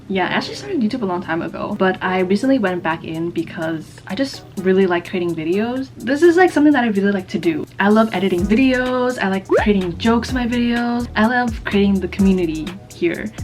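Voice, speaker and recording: A woman of twenty-four from the East Coast of the United States, whose first language is Vietnamese, chatting casually to her viewers in a rambling, self-mocking way with lots of fillers. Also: medium pitch. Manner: chatty and rambling, many fillers, quick bursts, self-mocking jokes, frequent laughs. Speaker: YouTuber. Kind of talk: casual get-to-know-me chat to camera. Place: her own room at home.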